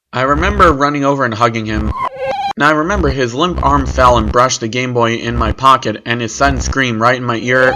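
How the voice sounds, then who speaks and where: A man reading a scripted first-person horror story as its narrator. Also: mid-range voice. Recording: clearly noisy recording with clicks.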